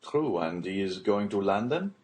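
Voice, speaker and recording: An educated young gentleman on his travels, read by a man. He speaks politely and formally in a measured way. His manner is questioning, suited to a classic novel audiobook. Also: fairly low pitch, sing-song.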